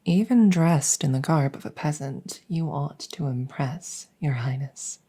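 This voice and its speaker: A woman playing a devoted, centuries-old vampire maid in a gentle ASMR roleplay, speaking softly and formally in a breathy near-whisper. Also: low voice, a little slow. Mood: tender, attentive. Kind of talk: soft-spoken roleplay comfort ASMR.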